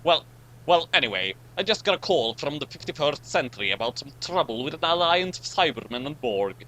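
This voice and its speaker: A man doing a dramatic reading with casual commentary, halting and retaking his lines. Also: a little high, sing-song, sharp tone, slight background noise, poor audio. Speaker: co-reader and commentator.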